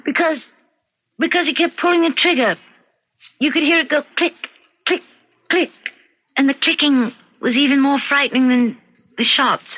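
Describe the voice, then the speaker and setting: Poised, scheming housewife in muffled radio mystery. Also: female voice, high-pitched, normal speed, long pauses, fairly bright tone. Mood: shaken testimony. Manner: poised, defensive, dramatic. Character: cool, evasive housewife scheming to get away with murder. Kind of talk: old-time radio mystery acting. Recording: dull radio-drama recording with music behind.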